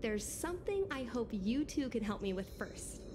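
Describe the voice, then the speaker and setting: A woman's voice: on the high side, big pitch swings, normal speed, noisy background. A woman voicing an earnest, polite young would-be pilot with a hesitant manner in a video game. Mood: troubled, determined.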